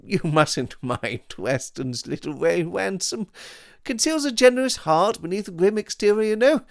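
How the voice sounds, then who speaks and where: A man's voice: high voice, lively intonation, normal speed, vocal fry, dry recording, studio quality, voice pushed up. A man reading an audiobook, voicing Devine, a slender, glib and mocking male schoolfellow with an arch, sardonic way of talking. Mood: smooth persuasive. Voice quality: flippant, arch, sardonic banter.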